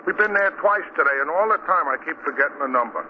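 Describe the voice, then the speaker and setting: Actor as high-pitched, dim New cabbie. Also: male voice, animated, quick pace, close to an everyday voice. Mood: sheepish, chatty. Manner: slangy, ungrammatical, muddled explanations. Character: dim, good-natured, talkative working-class cab driver. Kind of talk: 1940s radio acting. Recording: dull, noisy 1940 radio recording.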